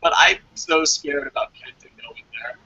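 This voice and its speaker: A man with a high, very lively voice talks casually as co-host of a review show. He is blunt and swears freely. The audio is dry and a little dull. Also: normal speed, vocal fry, breathy edge, no echo. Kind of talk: casual talk, reading and answering comments.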